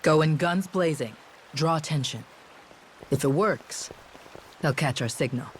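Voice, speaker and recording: An actor playing a confident, brash pirate ally who plans the attack, in a video game cutscene. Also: male voice, a little high, unhurried, a little hiss or hum, close to an everyday voice. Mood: brash, assured.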